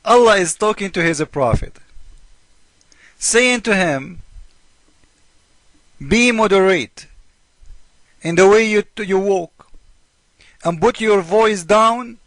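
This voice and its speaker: A man's voice: high voice, a bit bright, dry recording, low-quality recording. A man who speaks English as a second language gives informal polemical commentary on scripture, repeating himself in a mocking, theatrical way and acting out both sides of arguments. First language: not English. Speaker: host of a religious polemic video.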